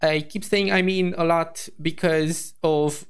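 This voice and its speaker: Hoarse guy vtuber rambling thru a reaction, lots of like and i mean. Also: on the high side, fairly even intonation, takes it slowly. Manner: rambling, chatty, with many fillers and restarts. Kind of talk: casual reaction commentary.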